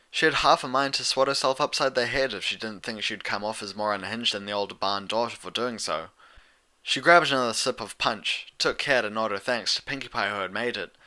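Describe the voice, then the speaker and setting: A man's voice: lowish voice, pushed and bright. A man reading a story aloud as a scripted narrator. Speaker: fan fiction reader.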